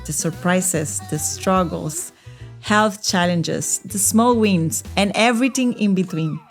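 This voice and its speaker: Slow-talking woman podcast host. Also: lowish voice, faint music behind. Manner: upbeat, laughs often, loose filler-heavy phrasing. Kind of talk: hosting a round-table interview.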